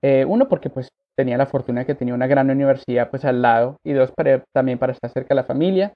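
A man from Colombia, raised abroad, telling his life story as a podcast guest in a relaxed way with many fillers. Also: mid-range voice, quick pace, phone-quality sound. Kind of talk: conversational interview.

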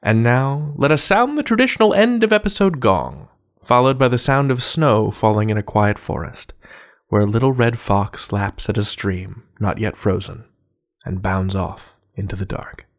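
A man's voice: medium pitch, animated, normal speed. A man hosting a podcast in a chatty style, with playful, wry and slightly theatrical phrasing. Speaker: podcast host. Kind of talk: chatty podcast outro narration.

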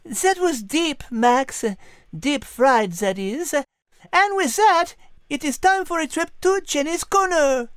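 A woman's voice: high voice, animated, slow pace, dry recording, studio quality. A woman playing a coy, refined French lady animal with a French accent, hosting a children's audio story in a prim, playful way. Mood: playful, polite.

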